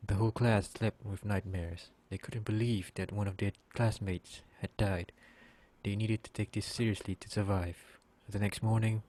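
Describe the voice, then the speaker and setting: A man narrates a scripted story. His voice is fairly low and stays very even in pitch. He reads it out plainly as a drama narrator.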